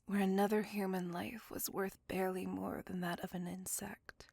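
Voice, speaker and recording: A woman voicing a gentle, remorseful immortal vampire in an ASMR roleplay, speaking tenderly and intimately in a breathy whisper. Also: medium pitch, level tone, no echo, studio quality. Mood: remorseful, confessing. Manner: slow, tender, earnest confiding.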